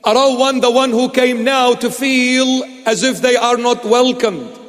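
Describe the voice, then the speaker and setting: A man preaches with a slow, measured pace and a high-pitched voice. He leans on repeated rhetorical questions and throws in light teasing asides. His words ring through a church hall with a long reverb. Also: steady tone, a bit bright. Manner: emphatic, repetitive rhetorical questions, playful asides. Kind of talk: sermon.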